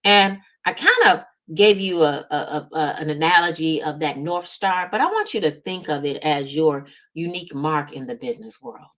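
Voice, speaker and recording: A woman's voice: low voice, lively intonation, moderate pace, hardly any pauses, a bit bright. A woman hosting a motivational business talk as a strategist, conversational and emphatic, often checking in with short tag questions. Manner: conversational, emphatic repetition, frequent check-in tags like right and okay. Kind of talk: motivational business coaching talk to online audience.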